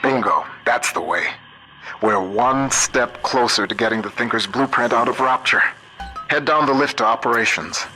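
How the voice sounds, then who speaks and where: An actor playing a shrewd, principled American computer scientist in a video game, middle-aged, wry, measured and plain-spoken. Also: male voice, mid-range voice, over music, natural voice, poor audio. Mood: steady, guiding. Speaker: guide character. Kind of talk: video game voice acting, audio diaries and radio guidance.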